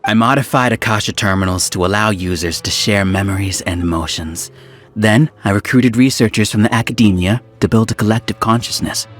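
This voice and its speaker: An actor playing a smug male scholar who runs a hive mind, talking in a calm, condescending lecture. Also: on the low side, lightly acted. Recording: faint game music behind.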